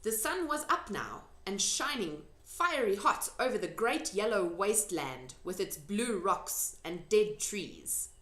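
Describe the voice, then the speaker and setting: A woman's voice: mid-range voice, sing-song, unhurried, hardly any pauses, fairly bright tone. A woman reading a children's storybook aloud expressively, with informal asides. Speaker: storybook reader. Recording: echoey room.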